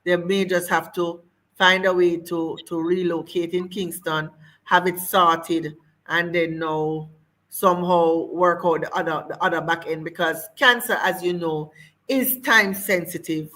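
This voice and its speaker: A woman's voice: lowish voice, steady tone, measured pace, a bit bright. A woman host, interviewing a guest in a hesitant, circling way with many fillers.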